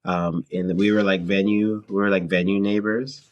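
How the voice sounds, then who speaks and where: Man, very low voice, close dry sound, halting phrasing, casual interview guest from Canada. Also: flat delivery, dry recording, dull audio. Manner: halting, thinking aloud in short phrases. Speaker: guest, singer-songwriter and theater performer.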